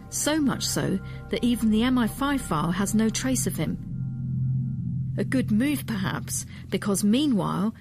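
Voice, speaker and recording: A woman presenting a documentary as a historian, in measured, articulate scripted narration. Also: medium pitch, darkish tone.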